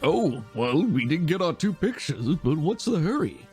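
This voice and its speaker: A man's voice: on the high side, very animated, normal speed, a little mellow, faint music behind, voice pushed up. A man playing breezy, cocky hero guy.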